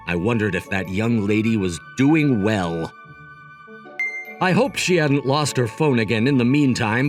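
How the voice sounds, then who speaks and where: A man acting as a cocky young romcom lead in a manga dub, wordy and self-satisfied. His pitch is middling but swings widely through dramatic asides. Music plays behind him. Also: close to an everyday voice. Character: cocky, logic-obsessed young male job seeker, teasing romcom narrator. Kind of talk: scripted manga dub narration and dialogue.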